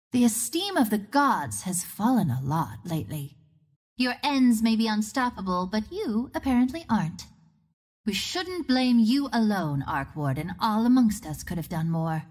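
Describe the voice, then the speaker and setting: Video game hero: a self-assured young woman archer of the wind, teasing and theatrical, with lively swooping intonation. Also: mid-range voice. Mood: cool, resolute. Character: confident, wry young female archer ranger of the wind.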